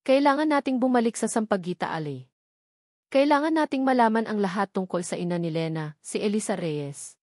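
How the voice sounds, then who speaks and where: A woman narrator plays a serious woman lawyer in storybook dialogue. She is determined and intent on her case, with a mid-pitched voice in a dry recording. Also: animated, fairly quick, darkish tone, dry recording, lightly acted.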